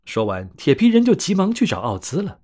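A man narrating a scripted bedtime story for children in an expressive, clearly phrased way. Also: fairly high pitch, fairly quick. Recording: soft music under narration.

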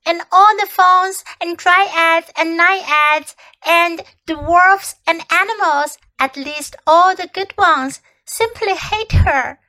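A woman voicing an eager, earnest little girl who talks breathlessly and excitedly in an audiobook. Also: very high-pitched, pitched above the performer's own voice, exaggerated voice, rough recording. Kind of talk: audiobook character voice.

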